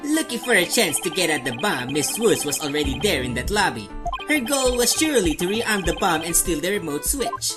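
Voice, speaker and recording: A woman's voice: lowish voice, big pitch swings, moderate pace. Woman as sly prosecutor guy in a game fandub, oily and formal, sure of himself, music under it. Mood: sly, assured. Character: sly, self-assured male courtroom prosecutor. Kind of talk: amateur video game fan dub.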